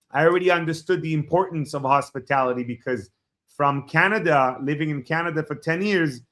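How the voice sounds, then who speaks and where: A man in his forties from Israel, a Hebrew speaker, telling his life story as a podcast guest in a reflective, halting way. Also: fairly high pitch. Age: 40s. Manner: reflective, halting starts with frequent fillers. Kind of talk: personal storytelling in an interview.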